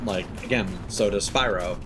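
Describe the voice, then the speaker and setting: A man's voice: on the low side, normal speed, over music. A man doing casual gaming commentary as a live streamer, rambling and opinionated as he thinks aloud. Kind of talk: casual gaming commentary, chatting while playing.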